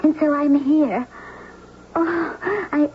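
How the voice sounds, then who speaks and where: A woman's voice: high-pitched, fairly even intonation, slow pace. An actress playing a tender, lovestruck young woman from the sea in a 1970s radio drama, speaking in earnest romantic declarations. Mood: tender, intense. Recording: noisy, muffled 1970s radio recording.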